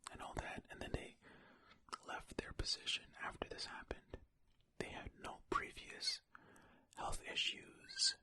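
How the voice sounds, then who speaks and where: Casting: ASMR storyteller, fully whispered, very sharp airy tone, informal and unhurried, close to the mic. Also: very high voice, no room sound. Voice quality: breathy, unvoiced whisper. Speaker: ASMR host reading and commenting on stories. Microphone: close ear-to-ear ASMR microphone.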